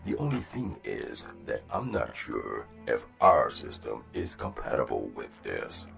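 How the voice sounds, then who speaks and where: Man playing a very deep, gravelly starship officer. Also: wildly swooping pitch, a bit bright, faint music behind, exaggerated voice. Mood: businesslike. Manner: formal, measured, deferential. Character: loyal, formal male rabbit starship first officer. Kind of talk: sci-fi audio drama acting.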